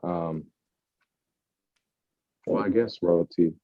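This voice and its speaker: A man's voice: deep voice, steady tone, very slow, dry recording, highs cut off. A man co-hosting a casual podcast, chatting loosely and breaking into bits of song. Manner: breaks into off-key singing of song snippets. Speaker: podcast co-host. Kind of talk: casual chat.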